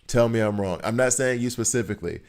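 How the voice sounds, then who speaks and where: A man's voice: mid-range voice, fast talker. An American man in his thirties, chatting casually with viewers while he plays, rambling and joking as he answers their questions. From United States.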